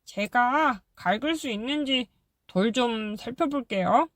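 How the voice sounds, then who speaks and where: A woman's voice: fairly high pitch, expressive, takes it slowly, no room sound, clean studio sound. A woman voicing a polite, wary little termite who speaks bluntly but kindly in a storybook audiobook. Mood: earnest. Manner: polite, hesitant, bluntly practical. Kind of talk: audiobook character voice.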